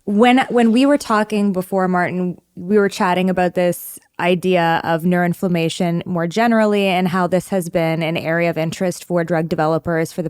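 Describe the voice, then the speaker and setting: A woman hosts a podcast with a smooth, clear voice pitched a little low. She frames her questions carefully and steps back to explain ideas for listeners. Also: darkish tone. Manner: engaged, framing questions for listeners. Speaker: podcast host. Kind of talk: podcast interview.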